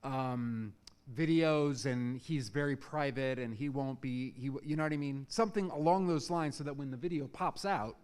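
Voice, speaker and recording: A man's voice: mid-range voice, moderate pace, darkish tone, clean tone. A man, a teacher in the audience, giving an informal critique, rephrasing as he goes and checking that listeners follow.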